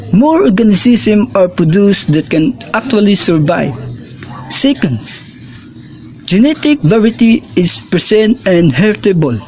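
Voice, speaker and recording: Slow-reading young man, high lively voice. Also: slight background noise, rough recording. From Philippines. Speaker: guest student presenter. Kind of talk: reading a class report as mock radio.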